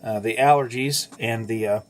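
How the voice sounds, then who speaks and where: A man's voice: medium pitch, a little hiss or hum. A man talks through his repair of an old tube radio at an easy pace, stopping often for ums and uhs and fixing his own words as he goes. His breathing has a slight wheeze from asthma. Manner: halting, many ums and uhs, corrects himself. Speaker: amateur radio operator restoring an old radio. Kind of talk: casual how-to explainer.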